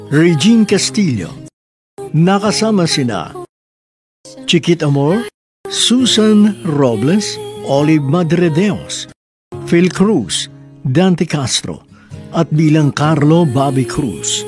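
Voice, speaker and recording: A man's voice: a little high, animated, slow, long pauses. A man announcing radio drama credits and a sign-off in a formal scripted style. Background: music.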